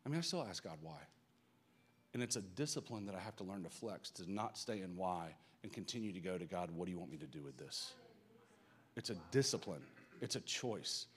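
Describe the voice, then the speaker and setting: A man with a Southern American accent, a pastor giving a live church sermon, earnest and building to loud, passionate emphasis. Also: low voice, lively intonation, fairly quick. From Southern United States.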